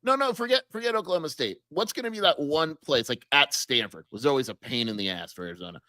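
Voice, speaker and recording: Teasing man with a lively voice. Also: on the high side, quick pace, no room sound. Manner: teasing, laughs often. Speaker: podcast co-host. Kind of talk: casual sports chat.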